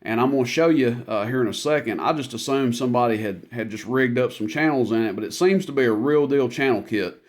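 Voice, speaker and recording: A man's voice: medium pitch, a little fast, hardly any pauses. A man talking in a folksy, plainspoken, unscripted way as he demonstrates gear he is selling. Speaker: product demonstrator, radio seller. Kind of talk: casual product demo.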